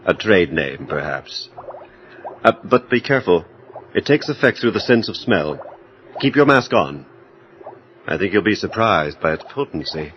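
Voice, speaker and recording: An actor playing a self-absorbed scientist in an audio horror drama, speaking in formal, precise, clinical phrases. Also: male voice, low voice, big pitch swings, normal speed, pauses often. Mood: coolly absorbed. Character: self-absorbed male scientist, coolly clinical, obsessed with his work. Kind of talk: audio horror drama acting with first-person narration. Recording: dull lo-fi audio with faint noise.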